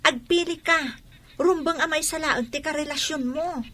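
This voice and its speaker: An actress playing a blunt, scolding young friend in a radio drama, with an Ilocano accent. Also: female voice, sits high, moderate pace, fairly bright tone. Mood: disapproving. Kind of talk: Filipino radio drama acting.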